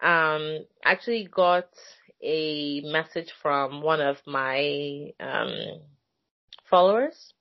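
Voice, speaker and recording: A woman with a low, deep speaking voice hosts a relaxed conversation, talking in a chatty way and pausing often with fillers. The sound is dry and a little dull. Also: dry recording. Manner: chatty, hesitant with many fillers. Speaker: podcast host. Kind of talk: casual conversation.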